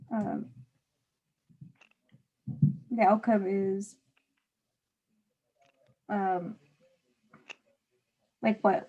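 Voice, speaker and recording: Woman, teacher, unhurried pace, slightly rough husky voice, patient, guiding read-aloud style. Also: mid-range voice, darkish tone, rough recording. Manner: patient, simple guiding questions. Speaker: teacher reading aloud and questioning a student. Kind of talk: read-aloud and one-on-one comprehension questions.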